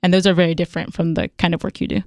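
A woman's voice: fairly low pitch, little pitch movement, rapid-fire, darkish tone, no echo, clean studio sound. A young woman hosting a radio interview, asking long, curious questions. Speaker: radio host.

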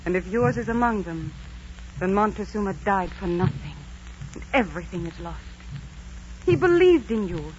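An actress playing a noble, steadfast young Aztec princess in earnest 1940s radio acting style. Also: female voice, mid-range voice, animated, normal speed, long pauses, a little mellow, close to an everyday voice. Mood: urgent pleading. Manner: earnest, solemn, theatrical. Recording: muffled 1940s radio recording, faint noise.

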